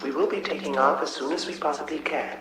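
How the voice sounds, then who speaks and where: A man's voice: fairly high pitch, fairly even intonation, fast talker, close to an everyday voice. A male actor voices a polite android flight attendant. The formal, scripted announcements ring with echo in a large hall over music, in a radio science-fiction comedy. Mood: bland, polite.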